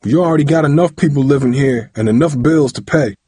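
Man acting a furious, domineering dad, pitched up and loud. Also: brisk, breathy edge, no echo, clean studio sound, natural voice. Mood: growling, contemptuous. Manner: shouting, interrogating, colloquial and threatening. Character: angry, domineering father of a teenage boy. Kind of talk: audiobook character voice.

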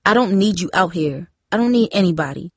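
A woman's voice: on the low side, lively intonation, brisk, no room sound. Teenage sister, acted by a woman reader: defiant, wounded, indignant, audiobook fiction, dry recording. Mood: cold, sullen. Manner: indignant, stubborn. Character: defiant, hurt teenage girl, the outspoken sister.

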